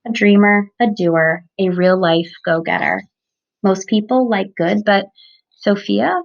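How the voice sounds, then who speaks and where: A woman reading a children's picture book aloud, with expressive, emphatic phrasing for young listeners. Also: medium pitch, a little slow, gravelly. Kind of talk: children's storybook read-aloud. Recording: dull lo-fi recording.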